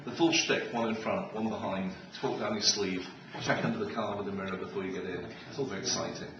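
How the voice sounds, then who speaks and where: A man's voice: on the low side, fairly even intonation, fast talker, muffled recording. A middle-aged man giving a humorous keynote talk with anecdotes, in a wry, joking way. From Israel. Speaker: keynote speaker. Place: large room with an audience. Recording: echoey, noisy hall, distant microphone.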